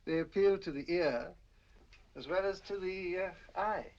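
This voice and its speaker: Carefree English gentleman collector, higher-pitched, flirtatious, genteel. Vintage film acting, dull soundtrack. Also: normal speed. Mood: jovial, charming. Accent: British English. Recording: muffled old film soundtrack.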